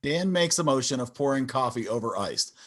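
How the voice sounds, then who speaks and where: A man's voice: medium pitch, animated, a little fast, a bit bright. A man narrating stage directions for a scripted sitcom table read over a video call.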